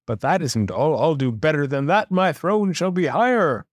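A man's voice: on the high side, expressive, moderate pace, no echo, clean studio sound. A man voicing a pompous, bossy, boastful turtle king from a storybook, with an imperious, bellowing delivery. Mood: commanding. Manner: bellowing, self-important, imperious. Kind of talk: storybook character voice.

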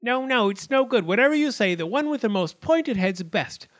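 A tiny indignant acorn from a children's tale, played by a man, high and very animated. It boasts and bickers, stubbornly insisting it is right. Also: moderate pace. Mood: indignant, boastful. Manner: argumentative, insistent, chattering.

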